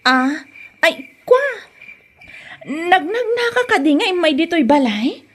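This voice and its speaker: A woman's voice: very high-pitched, very animated, slow pace, a bit bright, exaggerated voice. A woman with an Ilocano accent playing a sassy, incredulous young maiden who is being courted, in a comedy audio drama. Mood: incredulous, mocking.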